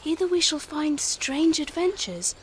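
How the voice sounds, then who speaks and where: A woman's voice: sits high, very animated, measured pace, slight background noise. A woman playing an eager, regal young queen in a fantasy audio drama, speaking in formal archaic phrases. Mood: earnest. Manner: archaic, formal, courtly speech.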